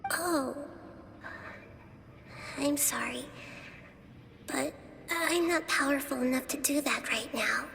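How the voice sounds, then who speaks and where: A woman voicing a small, innocent, kind girl in a fantasy game, with a gentle, childlike manner. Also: high-pitched, slow pace, gravelly, pauses often, exaggerated voice. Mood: tender. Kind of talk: game dialogue. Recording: long reverb, faint background noise.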